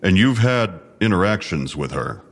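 Male detective for audio drama: very low voice, formal, clipped, drily sarcastic interviewer. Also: big pitch swings, moderate pace, exaggerated voice. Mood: formal, probing.

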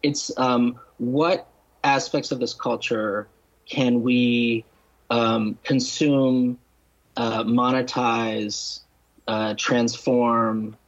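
A man speaking as a guest writer in a literary podcast discussion, halting and deliberate, restating and listing his points. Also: mid-range voice, little pitch movement, dull audio. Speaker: guest novelist and critic.

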